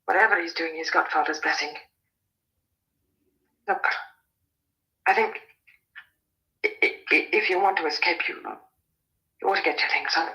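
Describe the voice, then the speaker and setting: An actress in a radio drama playing an anxious, devoted farm woman, urgent and emotional, with halting speech. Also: low-pitched, bright, forceful tone, muffled recording. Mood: worried, hushed.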